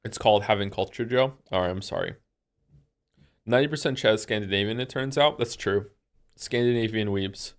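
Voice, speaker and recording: A man from Wales livestreaming, thinking aloud in a rambling, excitable, incredulous way as he chats casually with viewers during a game. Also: fairly low pitch, brisk, smooth. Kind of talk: casual chat with stream viewers while playing a guessing game.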